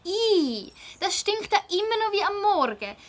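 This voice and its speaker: A woman voicing an eager, determined schoolgirl detective in a children's audio drama, emphatic and expressive, with a Swiss German accent. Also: extremely high pitch, measured pace, exaggerated voice. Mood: disgusted.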